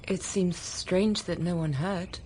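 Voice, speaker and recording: An actress playing an earnest, self-possessed young governess in a period radio drama, restrained and polite. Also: female voice, on the low side, steady tone, slow, darkish tone, a little hiss or hum, poor audio. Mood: suspicious.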